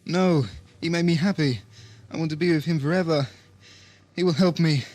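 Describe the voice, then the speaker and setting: A man's voice: a little high, normal speed, a little mellow, no echo, natural voice. A man playing a drugged, confused and fanatically devoted commissioner in an audio drama, agitated and fragmented in delivery. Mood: frantic, devoted.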